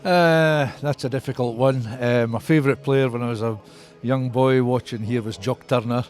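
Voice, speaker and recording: An older man from the Scottish Borders, with the accent to match, talks at an average male pitch. He answers as an interviewed club historian, recounting the past conversationally. Faint music sits behind him. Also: fairly even intonation, normal speed.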